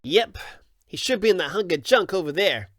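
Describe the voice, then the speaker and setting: A lively young hero hedgehog voiced by a man for a fan audio drama. He is cocky and breezy, with animated pitch and a dry, close sound. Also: moderate pace, dry recording. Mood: casual, matter-of-fact.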